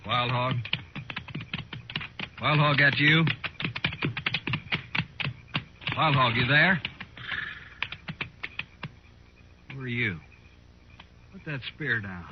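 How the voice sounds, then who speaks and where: A man's voice: mid-range voice, natural voice. A man playing a blustering, nervous frontier crook in a 1950s radio western, with a folksy, pushy way of talking. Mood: blustering, uneasy. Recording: muffled, noisy old radio recording.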